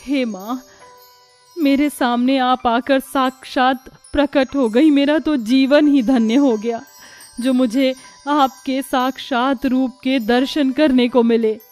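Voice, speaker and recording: A woman voicing a pious, long-suffering young mother in a cartoon, gentle, earnest and pleading. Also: a little high, moderate pace, faint music behind, natural voice. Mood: devout, grateful. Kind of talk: Hindi cartoon voice acting.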